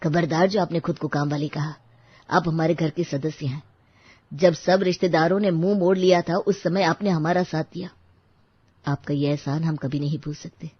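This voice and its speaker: A man's voice: on the high side, fairly even intonation, normal speed, slightly rough, no room sound. Man acting earnest thankful dad in a cartoon story, emphatic, close dry sound, bit muffled. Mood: earnest gratitude. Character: grateful husband and father, protective employer. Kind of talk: Hindi animated moral story voice acting.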